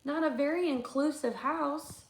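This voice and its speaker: A woman reading a picture book aloud to children like a teacher, adding expressive, chatty comments. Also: sits high, unhurried, reverberant, a little hiss or hum. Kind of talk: storybook read-aloud with asides to children.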